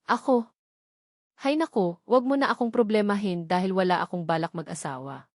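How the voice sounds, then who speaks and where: A woman's voice: mid-range voice, moderate pace, dry recording, clean studio sound, lightly acted. A woman voicing a friendly, casual young man, a childhood friend in an audio story.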